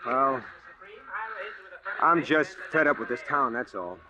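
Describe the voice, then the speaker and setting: A man's voice: mid-range voice, expressive, takes it slowly, a crowd in the background, low-quality recording. An actor playing a lonely, wistful, plainspoken guy with a hesitant manner in a 1940s radio drama. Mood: awkward, eager. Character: lonely, wistful, awkward city guy, drifting and homesick. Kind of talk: 1940s radio drama acting, first-person narration. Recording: old 1940s radio broadcast recording.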